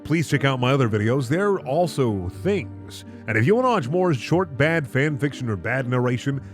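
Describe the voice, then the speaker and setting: A man's voice: mid-range voice, lively intonation, normal speed, faint music behind. A man hosting a comedic reading of bad fan fiction, narrating with incredulous, sardonic asides. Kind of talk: comedic narration with sarcastic commentary.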